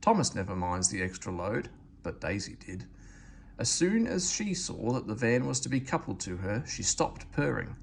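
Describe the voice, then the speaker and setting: A man reading a children's storybook aloud in an expressive narrating style. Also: lowish voice, normal speed, creaky. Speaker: storybook reader. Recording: faint background noise.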